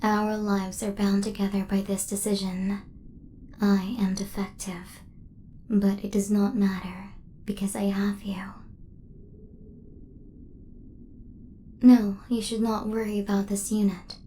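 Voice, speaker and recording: A woman playing a devoted, protective female hunter robot in a soft-spoken ASMR roleplay, with formal, precise, machine-like phrasing. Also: medium pitch, little pitch movement, a little slow, slight background noise, natural voice.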